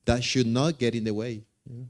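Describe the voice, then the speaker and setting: A man's voice: medium pitch, fairly even intonation, normal speed. A man with a Colombian accent, a native Spanish speaker, replying to audience comments at a conference and repeating key words for emphasis. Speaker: conference presenter.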